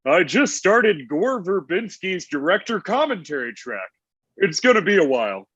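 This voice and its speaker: A man's voice: high voice, sing-song, moderate pace, fairly bright tone, slightly rough, dry recording, heavily acted. A man playing a sulky, stubborn, lovesick old Santa Claus in a comedy table read, petulant, with dull sound. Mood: dismissive.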